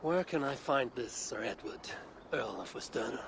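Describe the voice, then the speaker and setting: An actor playing a stern, commanding Norse clan leader in a video game, speaking with blunt control. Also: male voice, medium pitch, animated, moderate pace, vocal fry, background noise. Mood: calm authority.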